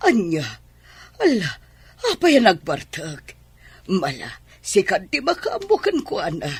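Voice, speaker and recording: Man putting on a high-voiced fussing mother. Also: huge pitch swings, unhurried, creaky, slightly rough, no echo, heavily acted. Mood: worried, scolding. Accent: Ilocano Filipino. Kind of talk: radio drama acting.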